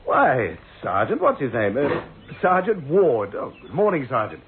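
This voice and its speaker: An actor playing a genial, hesitant, politely old-fashioned husband in a radio play. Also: male voice, medium pitch, wildly swooping pitch, normal speed. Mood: surprised, friendly. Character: genial, flustered gentleman husband. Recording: noisy, tinny old radio recording.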